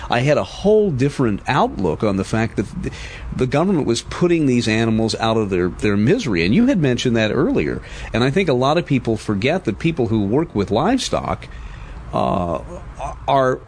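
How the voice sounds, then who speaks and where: A man's voice: lowish voice, slight background noise. A middle-aged man hosting a radio feature, narrating and interviewing as a journalist.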